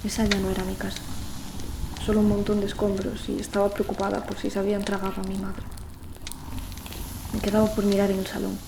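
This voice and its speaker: A woman's voice: mid-range voice, completely flat delivery, brisk, gravelly, pauses often, echoey room, noisy background, close to an everyday voice. A young woman playing a lonely, frightened teenage girl who narrates her ordeal in an emotive audio drama. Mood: desperate, determined. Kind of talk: dramatic first-person audio fiction narration.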